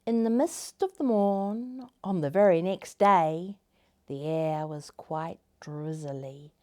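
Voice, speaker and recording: A woman storyteller hosting a story time, reading to children in a gentle, expressive way with deliberate phrasing. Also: on the low side. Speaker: storyteller, host.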